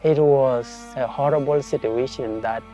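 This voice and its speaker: A man's voice: mid-range voice, fairly even intonation, measured pace, mellow, dull audio, soft background music. A young man from South Sudan with a South Sudanese accent, earnestly telling his life story in a documentary interview.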